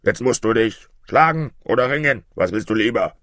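A man playing a proud, threatening many-headed forest dragon in a fairy tale, drawing out his challenges slowly. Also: mid-range voice, lively intonation, fairly bright tone, no room sound, clean studio sound, close to an everyday voice. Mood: angry, threatening. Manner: slow, drawn-out, challenging.

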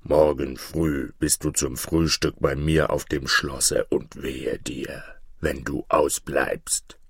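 Man voicing a huge, slow, menacing storybook giant. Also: level tone, vocal fry, breathy edge, dry recording, clean studio sound, full character voice. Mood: threatening. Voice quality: booming, blustering. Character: huge, gruff, threatening, gluttonous male giant.

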